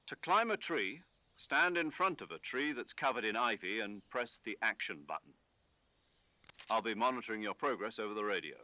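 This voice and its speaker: An actor playing a calm, authoritative military commander, giving measured, scripted mission briefings in a video game. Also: male voice, lowish voice, no room sound. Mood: instructive.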